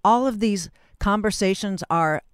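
A woman hosts an interview in a conversational style. Her voice sits at an average pitch, and she wanders through her points, restarting and rewording as she goes. The sound is dry, with little room in it. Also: a little slow, smooth, dry recording. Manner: discursive, restarts and rephrases sentences mid-thought. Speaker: interview host.

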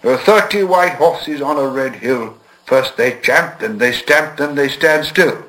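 A man's voice: medium pitch, unhurried, a bit bright, voice pushed up, close to an everyday voice, low-quality recording. A man playing a frightened, polite little hobbit who speaks up nervously and earnestly. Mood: reciting. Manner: nervous, earnest, a bit raised.

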